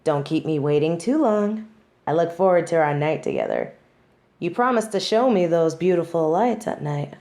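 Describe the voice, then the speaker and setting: A woman playing a snobby, wry tsundere who judges others, in an intimate scripted audio roleplay with deliberate, dramatic delivery. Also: on the low side, animated, dark tone. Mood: tender, concerned.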